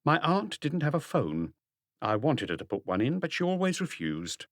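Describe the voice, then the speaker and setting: A man's voice: a little high, sing-song, moderate pace, no echo, clean studio sound, pitched above the performer's own voice. A man voicing a stubborn, guarded middle-aged working woman who gives curt, evasive answers in an audiobook.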